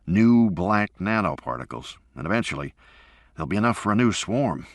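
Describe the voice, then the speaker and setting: A man's voice: on the low side, very animated, moderate pace, no room sound, studio quality, voice pushed up. A man voicing a blunt male field researcher in an audiobook, pressing his theory in a plain, forthright way. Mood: insistent.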